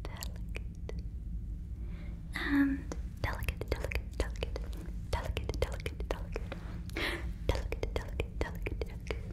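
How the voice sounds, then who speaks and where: A woman whispering close to a fluffy microphone in a breathy ASMR roleplay as a gentle, earnest alien, repeating words softly and deliberately. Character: gentle, earnest female alien fussing over a visitor. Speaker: ASMR performer.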